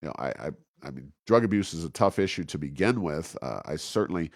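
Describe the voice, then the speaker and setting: A man hosting an informal political commentary podcast, speaking in a halting, stammering way. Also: very deep voice, expressive, no room sound, studio quality. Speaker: podcast host.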